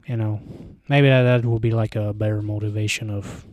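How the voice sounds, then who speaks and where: Bulgarian accent dude rambling on his stream, warm low-key tone, lots of uh and you know. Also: little pitch movement, fairly quick, no echo. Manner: rambling, hesitant, many fillers and restarts. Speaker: live streamer. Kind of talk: casual live stream chat. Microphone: handheld microphone close to mouth.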